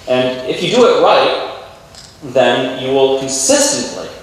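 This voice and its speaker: A man's voice: mid-range voice, sing-song, takes it slowly. Guy doing an informal tech talk, super echoey big hall, mic sounds far away. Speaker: keynote speaker. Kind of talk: conference tech presentation. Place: large university lecture theatre with audience. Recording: echoey hall, distant mic, faint noise.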